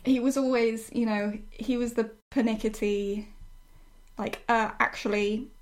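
A woman's voice: fairly high pitch, little pitch movement, a little slow. Woman in casual, reflective conversation. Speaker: writer discussing her own storytelling project.

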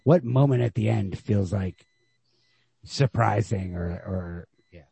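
Gravelly, husky man in casual discussion. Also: fairly low pitch, mellow, no echo. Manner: halting, filler-heavy with frequent "like", casual swearing. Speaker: podcast host discussing short stories.

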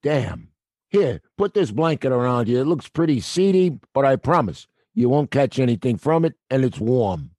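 A man playing a gruff New night watchman. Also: medium pitch, big pitch swings, normal speed, mellow, dry recording, close to an everyday voice. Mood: grudging. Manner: gruff, blunt, working-class New York speech. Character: gruff middle-aged male theater night watchman. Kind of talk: audio drama acting.

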